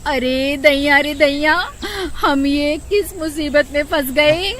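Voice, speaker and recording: A woman playing a bossy, fretful village wife in a cartoon, shrill and scolding. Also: slow pace, a bit bright, a little hiss or hum. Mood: alarmed. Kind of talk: Hindi cartoon acting.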